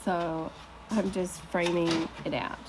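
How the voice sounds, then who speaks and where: A woman's voice: fairly low pitch, flat delivery, slow pace, heavy background noise. A woman from Australia with an Australian accent, chatting casually through a voice-over with frequent laughs. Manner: chatty, laughs often, self-deprecating. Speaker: furniture flipping creator.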